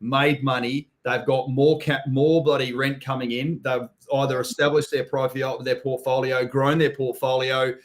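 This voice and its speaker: This is an Australian man hosting a live property chat. His pitch sits in an ordinary middle range, and he talks in a loose, blunt, blokey way, swearing freely and calling people mate. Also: flat delivery, moderate pace, few pauses. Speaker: host. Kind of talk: casual live-stream chat.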